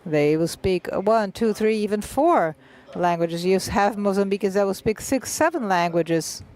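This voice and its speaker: An interpreter voicing a literary festival panel into English on the fly, speaking in short halting bursts with many filled pauses and restarts. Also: mid-range voice, darkish tone.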